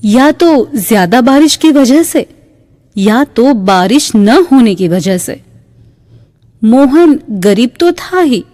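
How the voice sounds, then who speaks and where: Female narrator for children's moral tales: mellow, very measured delivery, reverberant sound. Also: on the high side. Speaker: story narrator. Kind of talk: slow scripted moral-story narration for a cartoon. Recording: long reverb.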